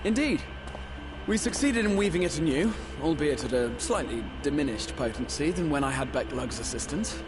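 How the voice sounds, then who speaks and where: A man performs an assured, scholarly video game guardian. His voice sits fairly high and moves widely in pitch, yet stays composed and articulate. Music plays clearly behind him. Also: animated, normal speed, close to an everyday voice, rough recording. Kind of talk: scripted video game voice acting.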